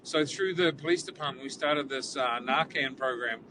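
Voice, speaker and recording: A man's voice: mid-range voice, moderate pace. A man in his forties from New Zealand with a New Zealand accent, a police chief talking earnestly in a casual interview and repeating words as he thinks, recorded inside a police cruiser. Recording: distant mic, vehicle noise.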